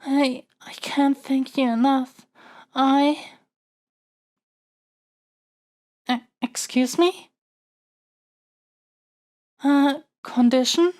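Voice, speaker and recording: Woman acting a high-voiced, flustered lovestruck young princess. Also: darkish tone. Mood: grateful, flustered. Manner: flustered, stammering, halting, earnest. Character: lovestruck young princess smitten with her dragon kidnapper. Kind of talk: romantic fantasy audio roleplay monologue.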